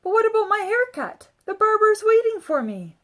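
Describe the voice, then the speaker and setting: A woman voicing an excitable, eager, wide-eyed young boy in a children's storybook. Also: very high-pitched, moderate pace, pitched above the performer's own voice, full character voice. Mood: pleading. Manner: exclaiming, eager.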